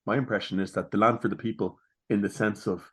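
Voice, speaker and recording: A man's voice: on the low side, fairly even intonation, fast, slightly rough. A man hosting a podcast, interviewing in a conversational way. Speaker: podcast host.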